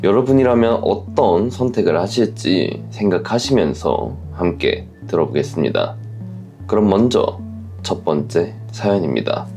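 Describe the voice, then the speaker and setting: A man with a deep, creaky voice narrates listener stories and comments on them reflectively. His intonation moves widely as he puts measured questions to listeners. Soft music plays behind him. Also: lively intonation. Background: background music.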